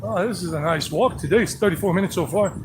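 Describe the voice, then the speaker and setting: Rambling middle-aged man, high voice. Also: fast, background noise. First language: English. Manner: rambling, repetitive, chatty. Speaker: vlogger. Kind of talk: walking live stream vlog. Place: outdoors on a walk by a creek. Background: flowing creek.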